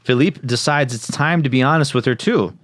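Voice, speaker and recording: A man's voice: mid-range voice, fairly quick, a bit bright. A man co-hosting a podcast, reading out a plot summary and dropping in joking asides. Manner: jokey, interjects and riffs. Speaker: podcast co-host.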